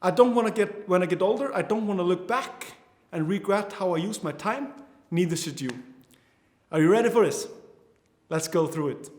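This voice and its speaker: A man's voice: high-pitched, moderate pace, a little mellow. Earnest young Icelandic man, echoey room. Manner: earnest, with frequent restarts and fillers. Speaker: course instructor. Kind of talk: online course lecture.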